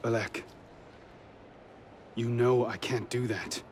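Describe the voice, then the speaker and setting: An actor playing a young, determined and wry hooded assassin in a video game cutscene. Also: male voice, mid-range voice, fairly even intonation, slow, slight background noise, lightly acted. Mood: grave, resolute. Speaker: game character.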